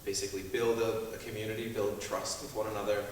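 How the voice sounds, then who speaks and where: A man speaking informally as a panelist, halting and thinking aloud in short pieces. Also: fairly low pitch, little pitch movement. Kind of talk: informal, unscripted talk to an audience. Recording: very echoey hall, distant mic, noisy.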